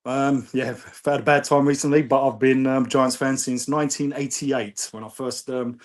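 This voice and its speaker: A man's voice: mid-range voice, steady tone, moderate pace. A middle-aged man phoning in to a show and chatting casually. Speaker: phone-in caller. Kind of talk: casual chat.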